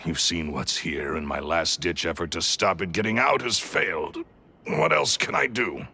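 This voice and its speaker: A man's voice: sits low, moderate pace, pushed and bright, husky, full character voice. An actor playing a resentful male magician's apprentice turned thief, confessing in a brooding, bitter way in a video game. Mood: resigned. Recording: faint music behind.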